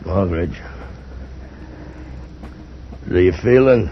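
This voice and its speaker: An actor in old time radio drama playing a haunted adventurer, narrating and speaking with tense urgency on an old recording. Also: male voice, deep voice, a little fast, slight background noise, close to an everyday voice. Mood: weary, pleading. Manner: tense, hushed narration breaking into desperate cries. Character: Johnny, haunted male adventurer narrating a desert ordeal. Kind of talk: 1950s old time radio drama acting, first-person narration and dialogue. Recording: muffled old radio recording with music underneath.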